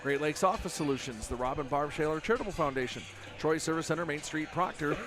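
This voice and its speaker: A man with a fairly high voice hosts a small-town basketball broadcast, talking casually and filling pauses with "uh." Music runs clearly in the background. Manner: chatty, many fillers. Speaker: play-by-play broadcaster. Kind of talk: local sports broadcast.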